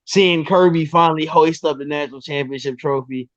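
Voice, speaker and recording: A man in his twenties, a guest on a sports podcast, talking casually and excitedly with lots of restarts and ums. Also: fairly high pitch, fairly quick, no echo, muffled recording. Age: 20s.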